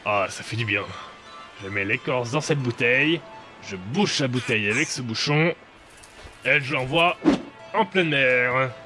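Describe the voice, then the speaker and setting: An actor playing a vain, rum-loving pirate captain in a comic audio drama, blustering and whiny. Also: male voice, mid-range voice, a little slow, pauses often, sharp tone, faint music behind. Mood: smug. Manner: blustering, drunken, whiny outbursts. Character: boozy, vain male pirate captain, young adult. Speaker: pirate captain Jack Pigeon.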